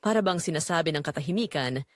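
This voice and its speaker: A woman's voice: low-pitched, animated, quick pace, no echo, clean studio sound. A woman with a Filipino accent playing a tender, long-neglected middle-aged wife and mother, narrating her own romantic audio drama in an introspective, confessional way. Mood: tender, intimate.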